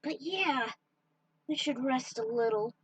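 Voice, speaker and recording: A woman reading her own story aloud and chatting informally to her followers, with an excitable manner. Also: sits high, slow, no room sound, recorded from a distance. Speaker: fan fiction writer and narrator.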